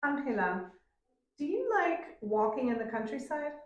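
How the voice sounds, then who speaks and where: A woman acting as an English exam examiner, asking clear, deliberate questions to students. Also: sits high, big pitch swings, muffled recording. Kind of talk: mock speaking exam questions. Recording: echoey room, mic at a distance.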